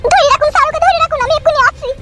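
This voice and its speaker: Squeaky chipmunk-fast cartoon wife yelling, music under it. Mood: angry. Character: shrill young village wife, hot-tempered.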